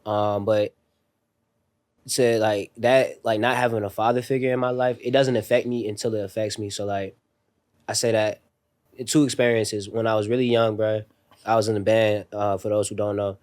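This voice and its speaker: A man's voice: lowish voice, level tone, moderate pace, a little mellow. A young man telling stories on a podcast in a laid-back, slangy way, with many fillers. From raised overseas in a military family. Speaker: podcast guest. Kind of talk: casual podcast conversation.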